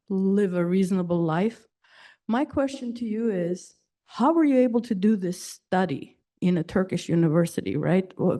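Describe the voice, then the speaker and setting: A woman's voice: fairly low pitch, mellow. A woman in the audience making a comment and asking a question, speaking directly and assertively.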